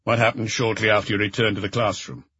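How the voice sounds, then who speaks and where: Man playing a calm, husky-voiced police inspector. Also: lowish voice, fast talker, dry recording, lo-fi sound. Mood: calm, probing. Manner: patient, short probing questions. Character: calm, encouraging male police inspector questioning a child.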